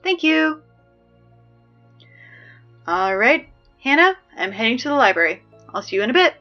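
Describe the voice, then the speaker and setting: A woman playing a chipper high school student reading announcements in an audio drama, brisk and deadpan about absurd horrors. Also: lo-fi sound, faint music behind, full character voice. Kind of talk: scripted audio drama acting as public announcements.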